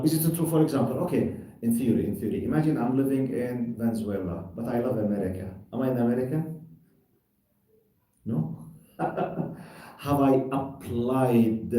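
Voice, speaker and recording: A man teaches a religious lesson on scripture, pressing his point through rhetorical questions and simple everyday examples. His voice is dark and mellow. It is picked up from a distance, in a room that rings with heavy echo. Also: medium pitch. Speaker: lecturer giving Quran commentary. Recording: very echoey room, distant mic.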